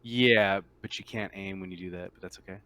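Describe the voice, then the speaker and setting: A man's voice: sits low, fairly even intonation, brisk, bright, forceful tone, clear, resonant tone, dry recording. A man acting as game master, casually running and narrating a tabletop roleplaying game.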